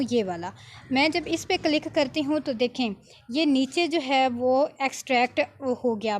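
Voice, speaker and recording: A woman giving an informal, step-by-step software tutorial as an editing tutor, often adding small check-in tags. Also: sits high, a little hiss or hum.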